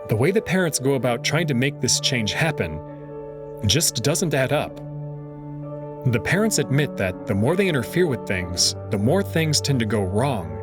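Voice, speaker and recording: A man narrating a first-person visual novel story with expressive engagement, then giving his own commentary, over music. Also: medium pitch. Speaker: visual novel reader and host.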